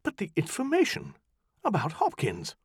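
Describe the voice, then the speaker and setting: A man voicing an impatient, imperious woman magician who speaks in brisk, commanding tones. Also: sits high, lively intonation, no room sound, studio quality, voice pushed up.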